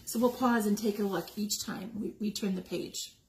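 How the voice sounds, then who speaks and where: A woman reading a picture book aloud to young children, expressively, pausing to explain the pictures and ask questions. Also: mid-range voice, steady tone. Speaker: story time reader. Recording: echoey room with background noise.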